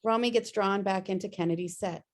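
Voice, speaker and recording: A woman's voice: mid-range voice, a little fast. A woman reading screenplay stage directions as scripted narration on a video-call table read.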